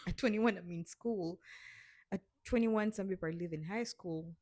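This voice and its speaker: A woman's voice: medium pitch, normal speed, darkish tone, no room sound, studio quality. A woman in her twenties co-hosting a casual podcast, giving earnest advice in a chatty way. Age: 20s. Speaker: podcast co-host. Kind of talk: casual chat.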